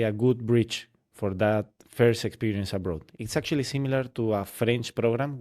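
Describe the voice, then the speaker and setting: A man's voice: on the low side, a little mellow. A man hosting a podcast, chatty, summing up what his guest said and asking long follow-up questions. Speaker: podcast host. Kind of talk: casual interview hosting.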